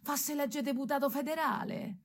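A woman's voice: high-pitched, fairly even intonation, moderate pace, natural voice. A woman playing a mocking working-class townswoman narrator in a radio drama, with a Roman accent and colloquial theatrical delivery. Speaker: narrator in a radio stage serial. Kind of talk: theatrical storytelling in colloquial dialect.

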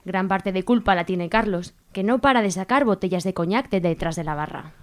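A woman's voice: mid-range voice, brisk. A woman reading scripted narration for a radio soap.

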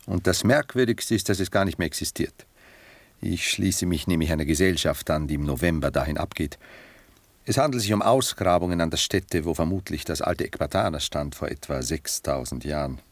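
Melancholic gentleman poet, very low voice, measured, reflective, formal, classic radio drama. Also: animated, no echo, heavily acted. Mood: musing. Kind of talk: 1960s German radio drama acting.

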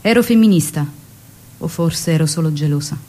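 A woman's voice: sits low, moderate pace, warm tone. An actress playing a revolutionary's young secretary and lover who recalls their story in an intimate, theatrical way, with music behind her. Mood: wistful, intimate. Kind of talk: Italian stage drama acting and memoir narration.

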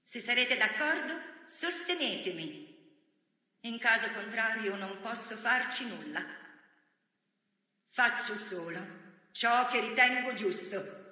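A performer playing a resolute, forgiving woman democracy leader, giving a measured and earnest theatrical speech. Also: medium pitch, lively intonation, pushed and bright, full character voice. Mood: calm, resolute. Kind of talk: theatrical political speech monologue. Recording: very echoey hall, long reverb.

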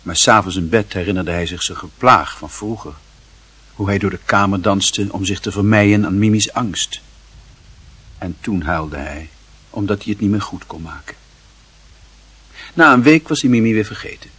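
A man with a husky voice reading scripted literary narration for a radio play. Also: fairly low pitch, moderate pace, long pauses, a bit bright.

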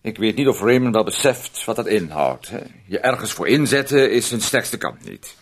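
Husky, sardonic man with swooping intonation. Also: fairly low pitch, sing-song. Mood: sardonic, condescending. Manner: dryly condescending, halting, with little interjections. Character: fussy, sardonic, controlling brother of a grown man. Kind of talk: radio play acting.

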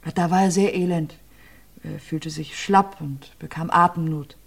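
An actress playing an abused widow being questioned about her husband's death, with hesitant, halting answers that often sink to a near whisper. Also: fairly even intonation, gravelly, exaggerated voice. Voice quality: low, subdued, often drops to a near whisper. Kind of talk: radio crime play.

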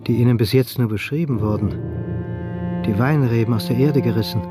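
A male narrator tells a radio drama in a mid-pitched voice. He is measured and expressive, pausing deliberately, with loud music playing behind him.

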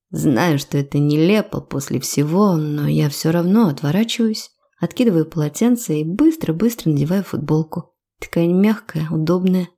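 A woman narrates a novel in the first person. Her voice is low and mellow, with a gravelly creak running through it. The delivery is a scripted audiobook reading.

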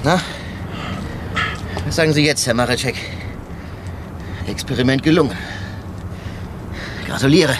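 A wry male police inspector voiced by an actor in a radio crime play. His voice sits at a middling pitch and he talks plainly, with a sardonic, argumentative edge. Clear outdoor vehicle noise runs behind him. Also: unhurried, long pauses, close to an everyday voice. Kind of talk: German radio crime drama acting.

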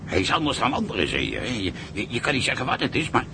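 A fatherly ship's cook in a radio drama. He talks in a folksy, soothing manner with a pitch that swings freely, over clear rumbling noise. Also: wildly swooping pitch, fast talker. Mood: comforting. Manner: folksy, reassuring, chuckling. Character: kindly, fatherly old-hand ship's cook. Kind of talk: Dutch radio drama acting.

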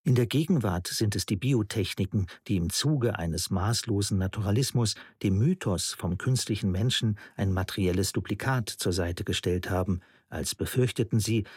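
A man's voice: lowish voice, animated, moderate pace, few pauses, husky, dry recording, studio quality. A man reading a scripted literary radio essay as its narrator.

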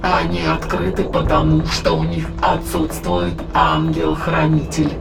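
Gravelly, solemn demonic spirit. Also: medium pitch, unhurried. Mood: solemn, menacing. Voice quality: gravelly, heavily creaky. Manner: solemn, declamatory, archaic wording. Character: sinister ancient demonic spirit, solemn, gloating, coldly patient. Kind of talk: dramatized audio play, formal archaic monologue. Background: dramatic background music.

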